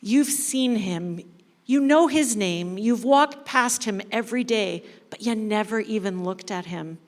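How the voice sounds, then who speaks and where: Stern, sorrowful old patriarch voiced by a woman, very slow and reproachful, staged parable reading, reverberant hall. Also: mid-range voice, close to an everyday voice. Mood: sad, reproachful. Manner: grave, deliberate, reproachful. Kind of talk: dramatic church parable reading. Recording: echoey large hall.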